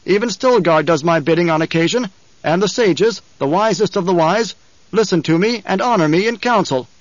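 A man's voice: high-pitched, expressive, normal speed, no room sound, dull audio, voice pushed up. A man voicing a defiant young male rebel leader, speaking in a commanding, oratorical way in an audiobook. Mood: commanding, questioning. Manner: commanding, raised oratorical delivery. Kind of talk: dramatic audiobook character voice.

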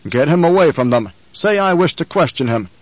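A man voicing a menacing, scheming older male baron who talks in slow, rumbling commands. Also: animated, no room sound. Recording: dull, dry studio recording.

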